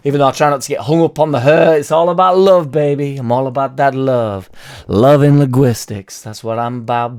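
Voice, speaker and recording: A young man, hoarse from losing his voice, ranting casually about football with loud bursts and swearing. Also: medium pitch. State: hoarse, partly lost voice. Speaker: football fan vlogger. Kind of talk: casual rambling fan vlog.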